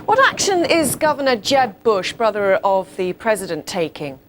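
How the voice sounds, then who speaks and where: A woman's voice: medium pitch, very animated, moderate pace, slight background noise. A woman presenting television news, reading scripted bulletins and putting questions to a correspondent.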